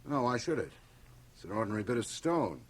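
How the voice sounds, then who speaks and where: A man's voice: medium pitch, sing-song, quick pace, slightly rough. An actor playing a self-important older businessman in a radio drama, brusque, blustering and dismissive. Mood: defensive, indignant. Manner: brusque, pompous. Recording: cassette transfer of 1980 radio drama.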